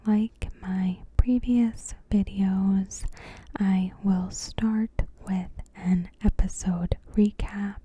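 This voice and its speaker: A woman ASMR host narrating a recap in a soft, breathy whisper, with hushed, deliberate phrasing and gaps between phrases. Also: mid-range voice.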